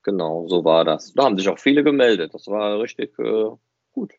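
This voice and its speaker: Male podcast co-host: fairly deep, casual, emphatic explainer, very dry dull sound. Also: expressive, fairly quick, gravelly, no room sound. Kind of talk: casual chat.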